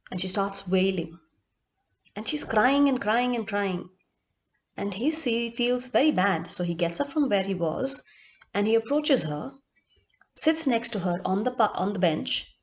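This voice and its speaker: A woman storyteller narrating expressively and dramatically, in short emphatic phrases with repetition. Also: medium pitch.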